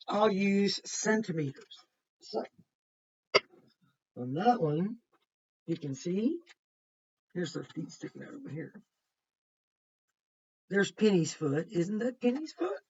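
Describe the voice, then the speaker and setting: A woman, a kindergarten teacher reading a picture book aloud to children and adding simple explanations and questions. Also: medium pitch. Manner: simple explanatory phrasing, pointing things out, repeating and asking questions. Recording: muffled, distant microphone.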